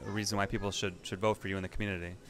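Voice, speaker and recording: Guy reporter, lowish voice, rambly questions that keep restarting. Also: little pitch movement, racing, a bit bright. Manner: rambling, self-correcting questions. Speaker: reporter interviewer. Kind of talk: casual interview questions.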